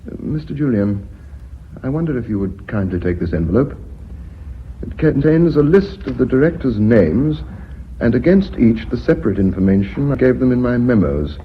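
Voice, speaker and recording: An actor with a British accent playing a steely, formal company employee in a radio drama, speaking deliberately. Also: male voice, mid-range voice, expressive, close to an everyday voice. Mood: accusing, controlled. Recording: dull, slightly noisy radio drama recording.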